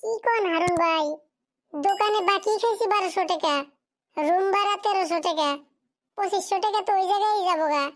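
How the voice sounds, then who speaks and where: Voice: extremely high pitch, a little fast. A performer voicing a poor, fatherless schoolboy in a cartoon drama, pleading and plaintive in a rural Bengali dialect. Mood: aggrieved.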